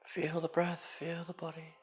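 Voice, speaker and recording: A man leading a guided yoga class as instructor, giving short, calm, unhurried spoken cues. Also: fairly high pitch, faint music behind.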